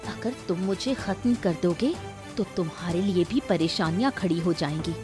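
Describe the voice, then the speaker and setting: A woman's voice: fairly low pitch, moderate pace, a little mellow, faint music behind, lightly acted. A woman playing a defiant, wronged young wife from a poor family in a cartoon moral story. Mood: pleading, firm.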